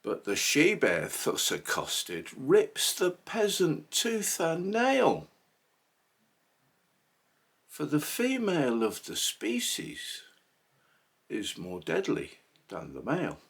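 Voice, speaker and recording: A man reciting poetry in a theatrical, emphatic manner, phrasing deliberately, with a husky voice. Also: on the high side, wildly swooping pitch, fairly bright tone. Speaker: poetry reader.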